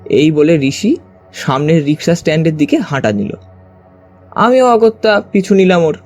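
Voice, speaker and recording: Man as high, mellow-voiced storytelling companion. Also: lively intonation, moderate pace, natural voice. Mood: curious, narrating. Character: detective's curious male companion, narrating the case. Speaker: narrator. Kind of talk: scripted first-person story narration. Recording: music under the voice.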